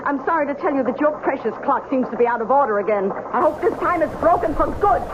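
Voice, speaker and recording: Tart, smug woman, very animated. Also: high voice, fast talker. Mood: huffy, spiteful. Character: sharp-tongued, smug, resentful woman, the shopkeeper's companion. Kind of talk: old-time radio drama acting. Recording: muffled, very noisy old radio recording.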